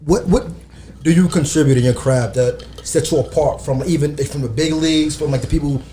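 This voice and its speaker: A man conducting a casual interview, asking questions in a slangy, deliberate way. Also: fairly high pitch, fairly even intonation, dark tone, slight background noise. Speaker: talk show interviewer.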